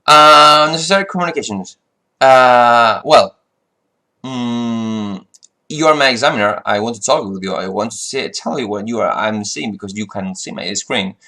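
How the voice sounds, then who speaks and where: A man's voice: mid-range voice, moderate pace, sharp tone. Frustrated man. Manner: frustrated, rambling with restarts and fillers. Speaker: flight sim vlogger. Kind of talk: casual monologue.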